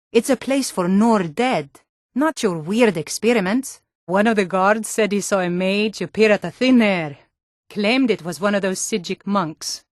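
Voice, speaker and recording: A woman's voice: mid-range voice, no room sound, clean studio sound, natural voice. A woman voice actor playing a tough, wary female Nord city guard in a fantasy video game, with blunt, colloquial delivery. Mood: gruff, skeptical. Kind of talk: scripted fantasy game dialogue in short standalone lines.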